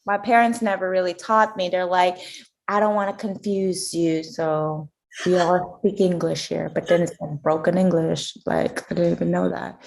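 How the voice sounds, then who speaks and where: A young woman from Macau co-hosting a podcast, chatty and animated, full of fillers like "like" and "you know". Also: fairly low pitch, moderate pace, creaky, rough recording. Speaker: podcast co-host. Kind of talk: casual chat.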